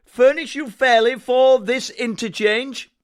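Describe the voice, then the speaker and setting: A man playing a hesitant Greek general in a Shakespearean audio drama, stammering and clearing the throat as he talks. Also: extremely high pitch, fairly even intonation, a little slow. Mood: halting, pompous.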